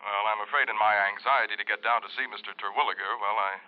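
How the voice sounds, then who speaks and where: A man's voice: fairly low pitch, steady tone, quick pace, close to an everyday voice. An actor playing a fussy, embarrassed insurance company man in a vintage radio drama, heard through muffled old broadcast sound. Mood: flustered, pleading. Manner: hesitant, fussy, stammering. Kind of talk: 1950s-60s radio drama acting.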